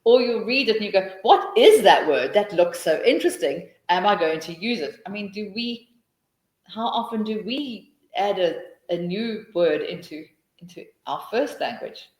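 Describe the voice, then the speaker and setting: A woman's voice: mid-range voice, animated, normal speed, roomy sound. A middle-aged woman with a South African accent, answering questions in a webinar and thinking aloud with many restarts. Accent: South African English. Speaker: teacher trainer.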